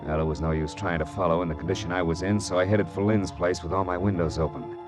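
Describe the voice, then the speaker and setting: Casting: male actor as a hardboiled American detective, fairly low voice, dry wisecracks, vintage radio drama with prominent music. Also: steady tone, fast talker, close to an everyday voice. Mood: weary narration. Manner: clipped, wry, hardboiled. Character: tough wisecracking male private detective, world-weary narrator. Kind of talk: 1950s radio drama acting and hardboiled narration.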